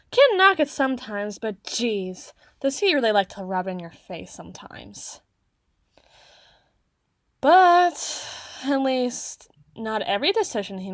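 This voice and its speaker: A woman's voice: fairly high pitch, fairly bright tone, no room sound, clean studio sound. A woman playing a flustered, haughty teenage tsundere girl in an ASMR roleplay, bookish and socially awkward, with formal, wordy diction. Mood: flustered, defensive.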